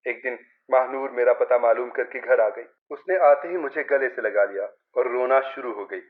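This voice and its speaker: A man's voice: medium pitch, normal speed. Male story narrator with narrow, thin sound. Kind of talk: scripted first-person Urdu story narration.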